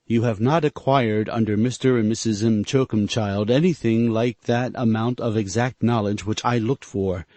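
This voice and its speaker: A man reading aloud and voicing a stern, coldly practical older mill-owner who lectures in a stiff, measured way. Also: lowish voice, fairly even intonation, warm tone, dry recording, close to an everyday voice.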